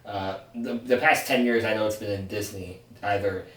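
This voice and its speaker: A man's voice: on the low side, steady tone, moderate pace, fairly bright tone. A man in his twenties co-hosting a podcast, chatting casually in a rambling, self-correcting way. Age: 20s. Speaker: podcast co-host. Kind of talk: casual sibling chat. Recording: echoey room, distant mic.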